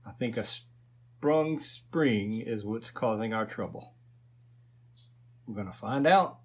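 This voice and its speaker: A man's voice: fairly low pitch, slow, husky. A man in his fifties casually narrating a repair to viewers, thinking aloud in a folksy way. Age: 50s. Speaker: host of a repair video channel.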